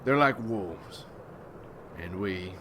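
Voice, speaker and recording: A man acts out a gruff, brooding leader of a vampire hunters' guild in an ASMR roleplay. His voice is low and he takes his time, rough-spoken and folksy, wary and ruthless. Noticeable background ambience runs behind him. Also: expressive, very slow, background noise, full character voice. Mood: grim.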